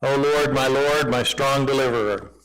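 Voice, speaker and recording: Guy with a big loud voice, bright and edgy, reading scripture into a pulpit mic in a church. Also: medium pitch, normal speed, clear, resonant tone, low-quality recording. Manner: loud, projecting voice. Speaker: scripture reader testing church microphones. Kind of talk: reading psalms aloud during a sound check. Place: church sanctuary. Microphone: pulpit and lapel mics.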